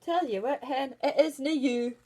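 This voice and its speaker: A woman's voice: high-pitched, normal speed, mellow, voice pushed up, lightly acted. A woman voicing a cheeky talking magic mirror in a storybook tale, with a Scottish accent and a blunt, taunting manner.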